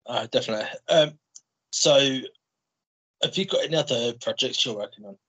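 Hesitant man with a bright, husky voice. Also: mid-range voice, normal speed, rough recording. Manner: hesitant, many "um" fillers. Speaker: radio host interviewer. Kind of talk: interview over video call. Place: small room indoors. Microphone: headset on a video call.

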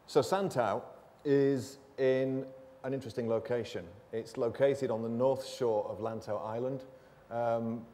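A man's voice: lowish voice, a little hiss or hum. Lecturing man in a reverberant hall. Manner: steady, explanatory, occasional fillers. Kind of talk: academic lecture. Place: lecture theatre.

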